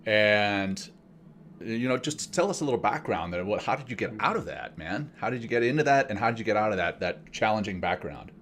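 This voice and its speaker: A man's voice: on the low side, animated, a little fast, fairly bright tone, a little hiss or hum. A man hosting a podcast, asking a guest about his background in rambling questions he keeps rephrasing. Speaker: podcast host. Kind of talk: interview questions.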